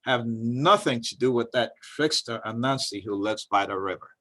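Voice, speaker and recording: A dramatic village mother played by a man, wary of tricksters and quick to scold. She speaks with storybook exasperation, stretching her exclamations. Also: medium pitch, a bit bright, no room sound, studio quality, lightly acted. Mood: stern, wary.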